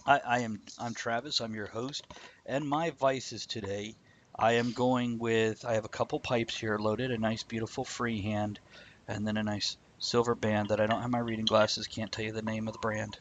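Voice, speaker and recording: A man's voice: fairly low pitch, level tone, moderate pace, a crowd in the background. A man hosting a live-streamed video chat show, joking, laughing and chatting with viewers. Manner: jokey, laughs often, chats to viewers. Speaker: host. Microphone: video call.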